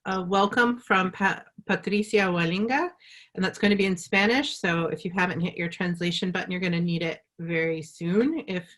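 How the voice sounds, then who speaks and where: A woman's voice: on the low side, steady tone, moderate pace, a bit bright. A woman hosting a live webinar over a video call, talking with many fillers and restarts. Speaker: webinar host.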